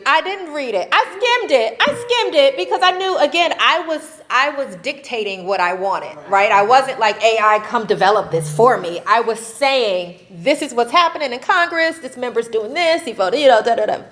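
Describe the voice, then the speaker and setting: A woman advocate speaking on a convention panel, animated and laughing often, ending her points with "right?". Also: fairly high pitch, normal speed, hardly any pauses. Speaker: faith-based advocacy panelist. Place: convention session room.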